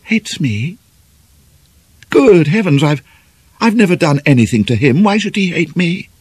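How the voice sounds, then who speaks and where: A male narrator acts an irritable village doctor in a British novel reading. He has a British accent and a husky, clipped, blustering delivery, indignant and nervy, with lively pitch. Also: unhurried, no echo, full character voice. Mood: baffled indignation.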